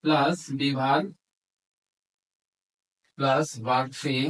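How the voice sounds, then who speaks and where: A man's voice: medium pitch, steady tone, extremely slow, fairly bright tone, low-quality recording. A man with a Bangladeshi accent teaching step by step, mixing Bengali with English terms and dictating piece by piece. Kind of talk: instructional lesson, explaining step by step.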